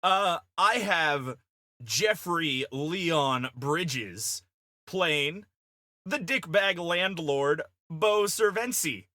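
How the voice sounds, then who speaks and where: A man bantering casually on a comedy podcast, pitching ideas in a blunt, profane, emphatic way. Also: lively intonation, extremely slow, pushed and bright, no echo, clean studio sound. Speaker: podcast panelist pitching a film idea.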